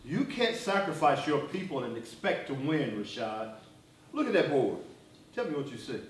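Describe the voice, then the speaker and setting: A man acting in a stage play as an embattled activist leader, earnest, wry and weary, with a deliberate theatrical delivery. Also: mid-range voice, very animated, natural voice. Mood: earnest, reflective. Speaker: stage actor. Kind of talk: live one-act stage play acting. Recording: very echoey stage, distant mic, faint noise.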